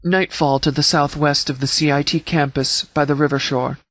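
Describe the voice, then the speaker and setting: A man playing a no-nonsense sheriff's department commander in a video game, speaking formally and precisely in measured, scripted briefing style. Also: on the high side, fairly even intonation, a bit bright, dry recording. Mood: composed, authoritative.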